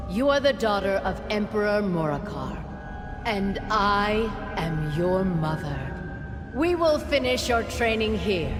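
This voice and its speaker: An actress playing a stern, cold priestess mother in a video game, speaking solemnly and deliberately, her voice reverberating under music. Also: medium pitch, lightly acted. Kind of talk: video game character acting. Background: clear music.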